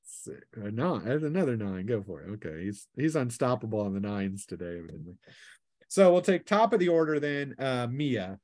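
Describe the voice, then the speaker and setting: A man's voice: medium pitch, sing-song, normal speed, a little mellow, dry recording, clean studio sound. A man acting as game master, casually narrating a tabletop game in a playful way, with spoken sound effects.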